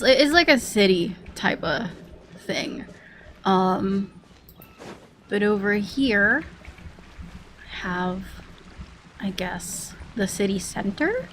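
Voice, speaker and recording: A woman with a scratchy voice, talking casually through a game walkthrough, rambling with many ums and quick laughs. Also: mid-range voice, fairly bright tone. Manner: rambling, lots of ums and self-corrections, laughs often. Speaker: gaming video creator.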